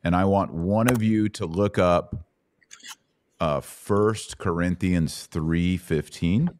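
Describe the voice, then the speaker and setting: Low-voiced man teaching the Bible. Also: slow, darkish tone. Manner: didactic, asks checking questions. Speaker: podcast host teaching the Bible. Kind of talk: live Bible Q&A, conversational teaching.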